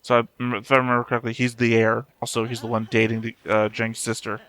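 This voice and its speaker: A man's voice: mid-range voice, completely flat delivery, fast, a bit bright, no echo. A man giving casual, off-the-cuff reaction commentary, musing aloud as he works things out. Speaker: anime reaction host.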